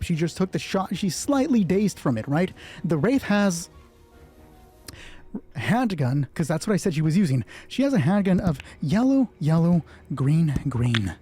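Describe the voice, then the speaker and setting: A man with a husky voice narrating a solo tabletop roleplay as game master, casually thinking aloud and swearing freely as he reads out dice results. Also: fairly high pitch, warm tone.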